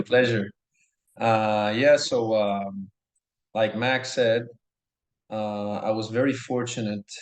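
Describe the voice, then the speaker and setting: Earnest man from Norway, echoey room. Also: mid-range voice. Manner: earnest, enthusiastic, repeats himself. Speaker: podcast guest. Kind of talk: casual storytelling.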